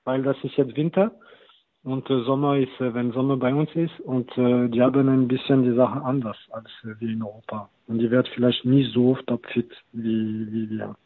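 A man's voice: medium pitch, level tone, normal speed, dry recording. Non-native man on a tinny line. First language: not German. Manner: hesitant non-native German, many restarts. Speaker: guest, assistant football coach. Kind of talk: casual interview talk. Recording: tinny phone-like line, very dry.

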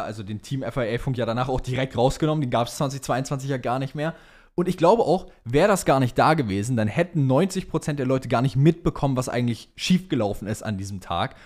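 A man's voice: mid-range voice, quick pace, darkish tone. A young man chatting casually as a podcast co-host, with slangy, sometimes crude wording. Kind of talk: casual chat about Formula One.

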